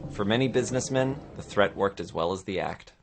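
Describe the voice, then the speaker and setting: A man's voice: fairly low pitch, expressive, fairly quick, music in the background. A man giving dramatic scripted narration of history, as in a radio play. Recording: clear background noise and ambience. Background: noisy ambience.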